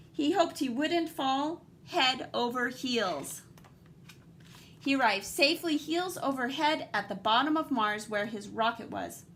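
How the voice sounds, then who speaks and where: Female teacher storyteller, high voice, expressive and dramatic picture-book delivery for young kids, slight background noise. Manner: animated, dramatic emphasis, guiding and questioning. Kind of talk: expressive children's read-aloud with asides to young listeners.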